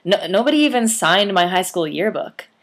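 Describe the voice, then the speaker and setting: A woman reading aloud puts on an optimistic young actress pal in a storybook, eager and bright. The voice is only nudged upward from the reader's own. It stays close to a natural, everyday speaking voice. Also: mid-range voice, animated, moderate pace, lightly acted. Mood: cheerful.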